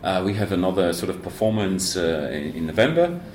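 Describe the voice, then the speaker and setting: Deep-voiced man chatting, roomy room. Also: very animated, fairly quick, darkish tone, slight background noise. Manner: hesitant, many fillers and restarts, joking. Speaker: band member and guest. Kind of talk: casual interview chat. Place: university student coffee room.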